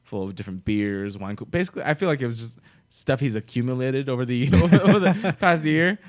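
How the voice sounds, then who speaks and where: A man's voice: medium pitch, animated, a little fast. A young man co-hosting a podcast, chatting casually and telling stories with frequent laughs. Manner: chatty storyteller, laughs often. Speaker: podcast co-host. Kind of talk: casual chat.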